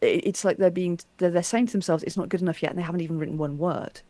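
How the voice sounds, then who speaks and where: A woman with a fairly low voice talks in a casual, reflective interview style. She often doubles back on words as she thinks, and the sound is dry with very little room on it. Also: steady tone, racing, mellow, dry recording. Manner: hesitant, repeats words while thinking.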